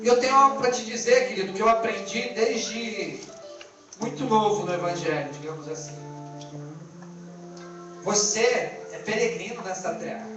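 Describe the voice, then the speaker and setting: A man's voice: high-pitched, normal speed, long pauses. Male preacher, Brazilian Portuguese accent, exhorting informally with rhetorical questions; dull, distant live-stream sound. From born in Angola, lived in Brazil. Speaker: pastor preaching to a church. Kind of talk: informal sermon. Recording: echoey distant band-limited live stream, music behind.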